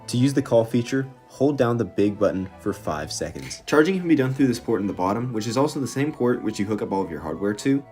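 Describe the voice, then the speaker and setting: Low-voiced man casually reviewing gear. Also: darkish tone. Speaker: product reviewer and video host. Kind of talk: casual product review to viewers.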